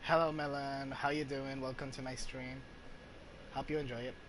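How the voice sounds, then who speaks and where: A man's voice: medium pitch, level tone, normal speed, a little hiss or hum, poor audio. A man giving casual live commentary as he streams a video game. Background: loud game music.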